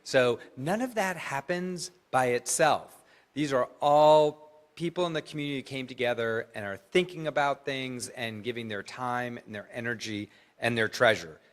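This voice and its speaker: A man at mid pitch addresses a congregation as its president. He runs through announcements in a chatty, upbeat way, with jokes along the way. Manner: chatty, enthusiastic, joking asides. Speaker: synagogue president giving announcements. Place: large synagogue sanctuary.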